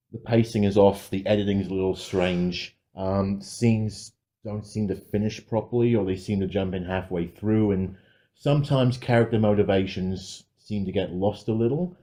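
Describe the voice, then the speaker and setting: A man co-hosting a podcast, giving his film review in a casual, measured and considered way. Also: lowish voice, dark tone.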